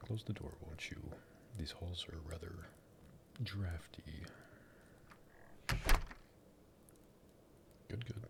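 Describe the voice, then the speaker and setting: A man playing a sadistic, possessive vampire master in an ASMR roleplay, teasing and politely menacing, with a breathy, gravelly voice. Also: low voice, measured pace, noisy background.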